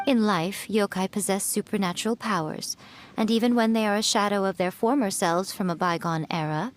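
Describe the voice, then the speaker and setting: A woman's voice: mid-range voice, darkish tone, a little hiss or hum, lightly acted. A woman voicing a sly, poised fox-spirit shrine priestess in a video game, lightly teasing.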